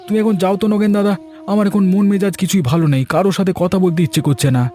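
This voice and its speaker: A man plays a ruined farmer in a cartoon, talking fast and curt to send a neighbor away, in a mellow, darker tone. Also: high voice, natural voice. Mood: irritated. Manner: curt, dismissive, upset. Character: ruined upset farmer, irritable.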